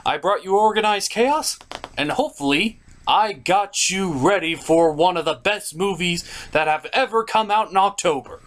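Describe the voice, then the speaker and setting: Male review host, theatrical and emphatic, very animated pitch, scripted commentary with skits. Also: normal speed.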